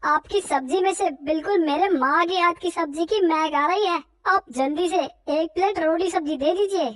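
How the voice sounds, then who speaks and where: A woman's voice: very high-pitched, moderate pace, no room sound. A woman voicing a friendly, eager male customer in a cartoon story, talking in an exclaiming way. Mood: delighted. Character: cheerful male office worker customer, friendly.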